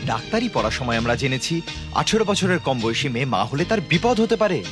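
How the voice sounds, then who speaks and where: A man's voice: medium pitch, very animated, fast talker, music in the background, lightly acted. A man playing a principled, polite young student son in a cartoon, reasoning earnestly. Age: young adult. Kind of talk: cartoon voice acting.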